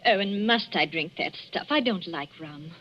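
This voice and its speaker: An actress playing a tense, defensive young wife who hides her unease, with a husky voice and clipped, guarded replies in a 1940s radio drama. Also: fairly low pitch, animated, moderate pace. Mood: irritated, defensive. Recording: dull, muffled old radio recording.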